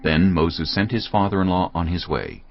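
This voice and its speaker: A male narrator with a very deep voice reads scripture in a calm, steady way. The sound is somewhat muffled, and orchestral music runs clearly behind him. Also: normal speed.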